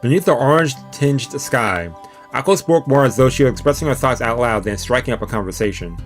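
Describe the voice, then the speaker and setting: A man's voice: medium pitch, lively intonation, brisk, soft background music. Informal man reading a visual novel aloud. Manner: informal, slangy, reacts in asides. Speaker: let's play streamer.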